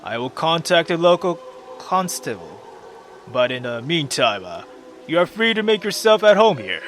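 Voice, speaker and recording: A man voicing a kindly, solemn old male priest who speaks gently and reassuringly. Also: a little high, very animated, normal speed, soft background music, pitched above the performer's own voice.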